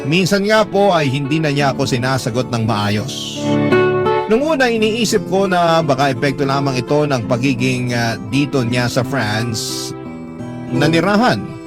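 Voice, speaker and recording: A man's voice: mid-range voice, expressive, a little slow, lightly acted. Man narrating as an earnest, heartfelt son over music. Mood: wistful. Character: earnest grown son confiding his family troubles by letter. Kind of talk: first-person radio drama narration.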